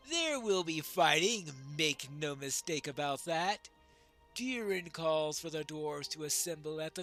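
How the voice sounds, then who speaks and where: A man's voice: fairly high pitch, pushed and bright, full character voice. A man voicing a solemn, battle-ready dwarf lord in formal, declamatory fantasy game dialogue.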